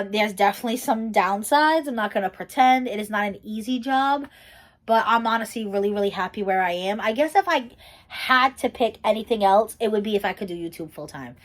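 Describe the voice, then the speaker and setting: A young woman chatting to her camera through a question-and-answer video. She rambles freely, circling back with fillers and restarted sentences in an easygoing, conversational way. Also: medium pitch. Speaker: YouTuber and teacher. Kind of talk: casual chatty Q&A vlog.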